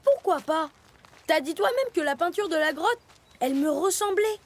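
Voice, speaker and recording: A woman's voice: extremely high pitch, animated, moderate pace. A woman voicing a brave, stubborn young male leopard cub in a children's cartoon dub, talking in an earnest, determined way. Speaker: dubbing voice actor. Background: music.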